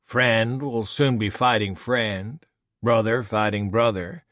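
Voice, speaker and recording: A man's voice: on the low side, fairly even intonation, slow, a bit bright, no echo, clean studio sound. A man voicing a smug, scheming male villain who taunts and mocks. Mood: mocking. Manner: taunting, mocking.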